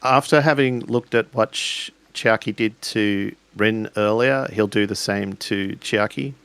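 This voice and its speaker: Guy telling a tabletop rpg story, really slow n halting, dry close sound. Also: lowish voice, a bit bright, smooth, dry recording. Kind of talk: improvised narration of a tabletop roleplaying game.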